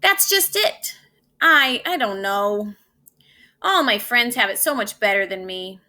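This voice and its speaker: A wistful little spoon boy from a storybook, acted out by a woman reader. The voice is high and bright, whining with envy and stressing each complaint. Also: lively intonation, unhurried, full character voice. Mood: glum envy. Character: young male spoon, glum, envious, wistful child. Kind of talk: children's storybook read-aloud.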